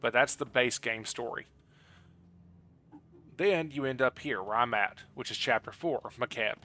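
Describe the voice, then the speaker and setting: Bright-voiced man chatting while gaming. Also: mid-range voice, unhurried. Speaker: game streamer. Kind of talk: casual live gameplay commentary and chat.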